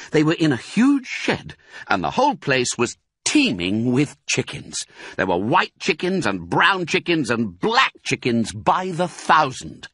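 Man doing a lively, clever father fox. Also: mid-range voice, slightly rough, dry recording, studio quality, pitched above the performer's own voice, exaggerated voice. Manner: animated, commanding, gleeful when triumphant.

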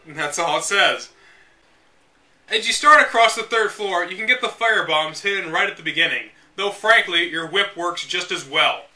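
A man with a high, very sharp and forceful voice hosts a read-aloud. He delivers the text dramatically, then drops into casual, mocking commentary with an exasperated edge. He sounds set back a little from the microphone. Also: moderate pace, distant mic. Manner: sarcastic, exasperated. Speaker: host reading a game guide aloud with commentary.

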